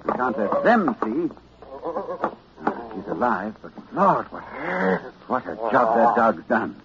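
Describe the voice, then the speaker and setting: A man's voice: medium pitch, big pitch swings, slow pace, a crowd in the background. A man acting a learned, hesitant university librarian recounting horror in a cultured, dramatic manner, in 1940s radio suspense style. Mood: shaken. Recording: dull old radio recording with music.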